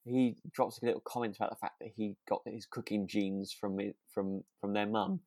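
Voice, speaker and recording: A man's voice: on the low side, steady tone, moderate pace, a little mellow, dry recording. A man co-hosting a podcast, recapping a radio drama in casual chat, often rephrasing himself and readily amused. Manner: hesitant, often rephrasing and repeating words. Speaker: podcast co-host.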